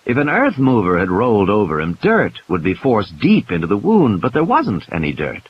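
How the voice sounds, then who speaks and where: Expressive male audiobook narrator with animated pitch. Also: moderate pace, dull audio. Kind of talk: dramatic scripted narration. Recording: hissy, noisy background.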